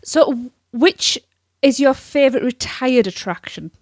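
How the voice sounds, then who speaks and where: A woman's voice: high voice, animated, a little slow, no echo, clean studio sound. A woman co-hosting a podcast, upbeat and giggly as she chats and asks questions. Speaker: podcast co-host. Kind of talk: casual podcast chat.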